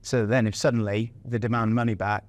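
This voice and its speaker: A man's voice: lowish voice, flat delivery, fairly quick. A man interviewing his guest in a conversational, hesitant way. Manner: conversational, hesitant, with many fillers. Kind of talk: casual interview talk.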